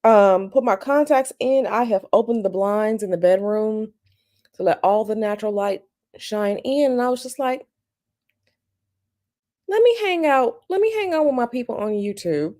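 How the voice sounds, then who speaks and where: A woman with a slightly raspy morning voice, chatting casually with viewers on a live stream from home and greeting them by name. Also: on the high side, lively intonation, normal speed, dark tone. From United States. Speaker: tarot channel host. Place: at home, bedroom.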